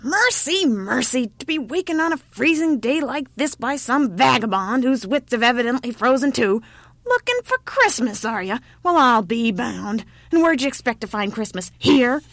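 A woman voicing a grumpy, exasperated old peasant woman, a little deaf, who scolds and shouts in a folksy way. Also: fairly high pitch, very animated, moderate pace, no room sound, voice pushed up. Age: older.

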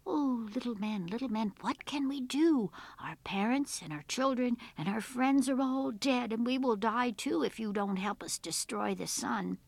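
A woman's voice: on the high side, fairly even intonation, a little slow, creaky, few pauses, no echo. A woman voicing frightened villagers who plead urgently for help in a plaintive folk-tale voice. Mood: anxious pleading. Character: frightened villagers begging wise men for help.

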